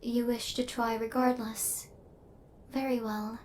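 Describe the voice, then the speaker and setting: A woman voicing a female killer robot in an ASMR roleplay, speaking in formal, clinical, literal phrases with a stern yet softening temper. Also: on the high side, level tone, slow pace, a little hiss or hum. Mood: curious, deadpan.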